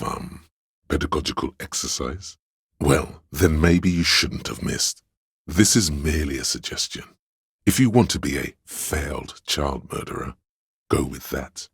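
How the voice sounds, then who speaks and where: Game role: scheming courtly adviser, extremely deep husky bass, grandiloquent and obsequious, close dry sound. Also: male voice, dry recording, exaggerated voice. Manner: theatrical, grandiloquent, addressing a liege. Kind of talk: scripted game voice lines, archaic courtly speech.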